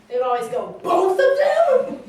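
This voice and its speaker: In a very echoey room, far from the mic, a woman plays a frank, affectionate grown daughter. Her voice is high and lively as she chats through family memories in a staged reading, amused and candid. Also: moderate pace, exaggerated voice. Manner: chatty, animated storytelling, often laughing. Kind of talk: staged reading, conversational monologue and dialogue. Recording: very echoey hall, distant mic, faint noise.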